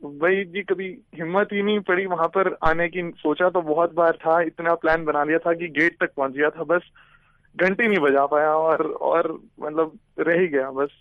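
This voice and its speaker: A man's voice: fairly high pitch, fairly even intonation, moderate pace, no echo. A man talking on the phone, hesitant and stammering. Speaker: caller being pranked. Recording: tinny phone line.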